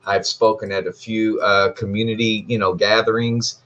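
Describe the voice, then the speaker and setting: Texan guy in his 50s on a video call, deep voice, relaxed, lots of uhs, muffled sound. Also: moderate pace. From Texas. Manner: relaxed, hesitant with many uhs. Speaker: guest. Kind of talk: casual self-introduction.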